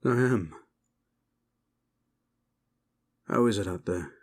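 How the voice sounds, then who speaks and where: Fantasy audio drama: male actor as battle-worn knight captain, lowish voice, grave, formal, haunted. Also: a little mellow, lightly acted. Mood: calm. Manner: solemn, measured, formal.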